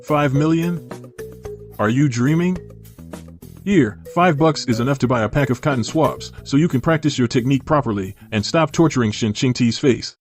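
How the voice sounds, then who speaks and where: A man's voice: mid-range voice, moderate pace, faint music behind, natural voice. A man playing a teasing, easily exasperated bachelor friend in a dubbed short drama. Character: mocking, exasperated bachelor friend of a fallen tycoon.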